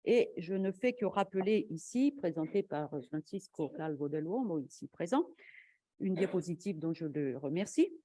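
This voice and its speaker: A woman academic giving a formal conference lecture in a measured, argumentative way, as an invited speaker. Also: fairly low pitch, expressive, dark tone, no echo.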